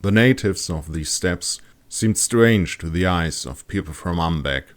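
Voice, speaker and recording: A man's voice: low-pitched, expressive, unhurried. A man reading his own fantasy audiobook as a scripted narration.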